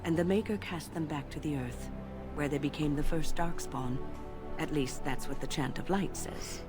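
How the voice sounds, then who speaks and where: An actress voicing a calm, wise older woman mage who explains things in an unhurried, gently lecturing way. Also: sits low, over loud music, lightly acted. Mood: thoughtful. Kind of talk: video game voice acting.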